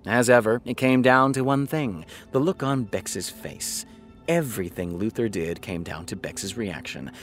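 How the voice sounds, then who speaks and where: A man's voice: on the low side, a little slow, close to an everyday voice. Man acting a wry, brooding writer, music behind. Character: wry, self-critical man reading fan fiction drafts aloud. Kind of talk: dramatic prose reading in an audio drama.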